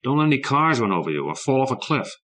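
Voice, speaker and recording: A man's voice: sing-song, fast talker, a bit bright. A man voicing an anguished young boy who cries out fiercely in a raised, strained voice. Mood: earnest. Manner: cries out in raw high anguish.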